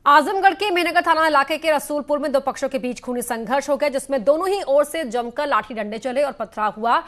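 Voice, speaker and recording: A woman's voice: sits high, fairly quick, fairly bright tone. A woman news anchor reading a scripted news bulletin.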